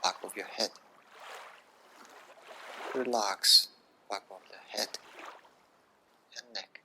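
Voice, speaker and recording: Slow, low-voiced man guiding relaxation. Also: steady tone, creaky, a little hiss or hum. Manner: hushed, soothing, short phrases with long pauses. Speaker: yoga nidra guide. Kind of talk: guided relaxation meditation.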